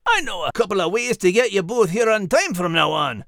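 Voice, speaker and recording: A woman's voice: medium pitch, very animated, fairly quick, a bit bright, dry recording. A chatty male dog with old-sailor slang, played by a woman, his voice bouncing all over. He teases in a friendly, scrappy way. The sound is close and dry. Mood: smug. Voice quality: folksy slang, calls people lass. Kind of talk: playful podcast banter.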